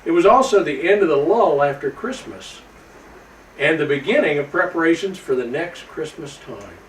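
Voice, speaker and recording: A man playing Santa Claus, a jolly old man reading a storybook to children in a folksy, slightly halting way. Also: on the high side, animated, close to an everyday voice. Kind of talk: storybook read-aloud to children. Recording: roomy, distant microphone with faint background noise.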